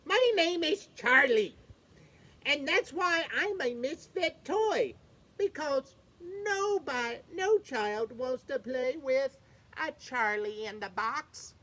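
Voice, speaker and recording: A woman's voice: sits high, lively intonation, slow pace, a bit bright, pitched above the performer's own voice, exaggerated voice. A woman voicing a male jack-in-the-box toy, the cheerful sentry of a toy island, in a storybook read-aloud. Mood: eager.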